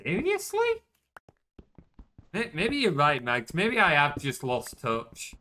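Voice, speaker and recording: Young male streamer, chatty, self-deprecating, quick to curse; animated delivery, crisp bright tone. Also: a little high, slight background noise. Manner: chatty and self-mocking, swears when caught out. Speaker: game streamer. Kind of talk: casual gameplay commentary.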